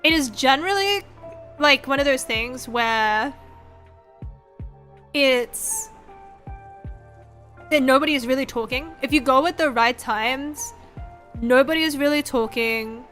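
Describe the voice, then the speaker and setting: A woman's voice: high voice, measured pace, long pauses, fairly bright tone. A woman in her twenties chatting casually as a livestreamer, rambling and swearing freely, acting out little quotes as she goes. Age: 20s. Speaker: streamer, VTuber host. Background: background music.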